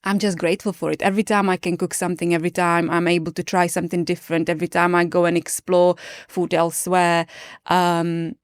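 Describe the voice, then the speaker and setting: Czech lady, late 30s, smooth voice, dry close mic, talks slow and reflective about her past. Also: lowish voice, flat delivery, no echo. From Czech Republic. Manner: reflective, earnest, with frequent restarts and fillers. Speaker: podcast guest, yoga teacher. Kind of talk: casual chat.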